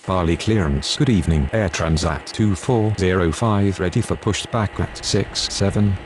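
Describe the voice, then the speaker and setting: Deep-voiced man making air traffic calls. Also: normal speed. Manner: clipped, formulaic radio calls with call signs. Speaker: air traffic control game player. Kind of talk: reading aviation radio phraseology.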